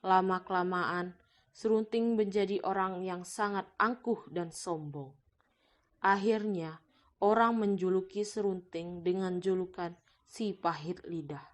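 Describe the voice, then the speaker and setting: A woman's voice: fairly low pitch, unhurried. A woman reading scripted narration for a folktale as its storyteller.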